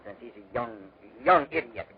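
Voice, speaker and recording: A man's voice: medium pitch, animated, a little fast, crowd noise, lightly acted. An actor playing a stubborn, proud middle-aged husband and father in 1940s radio drama, blustering and emphatic. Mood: indignant. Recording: tinny 1940s radio recording, background babble.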